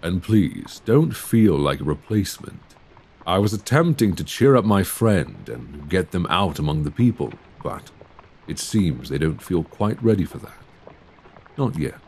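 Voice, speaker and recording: Casting: male actor as tenderly charming gentleman vampire, Victorian ASMR drama, gravelly, very expressive melody, formal and attentive. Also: unhurried, full character voice. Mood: charming, inviting. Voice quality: low, creaky voice. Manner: genteel, formal, solicitous phrasing with endearments. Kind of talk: ASMR roleplay, scripted intimate monologue. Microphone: close binaural microphone.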